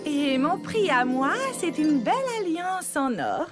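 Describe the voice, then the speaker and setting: A woman's voice: sits high, expressive, a little slow. A woman playing a coy, eager young shopkeeper's daughter in a French cartoon, ingratiating and salesy. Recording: loud music behind voice.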